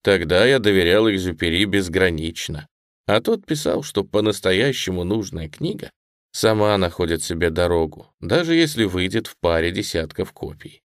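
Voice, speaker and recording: A man narrating a first-person memoir audiobook in a reflective, expressive way. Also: deep voice, normal speed, dry recording, clean studio sound.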